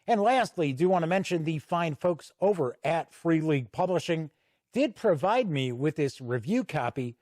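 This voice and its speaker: A man's voice: a little high, a little mellow, no room sound, studio quality. A man hosting a tabletop gaming channel, giving a chatty, folksy, unscripted product review to camera. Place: indoor home studio.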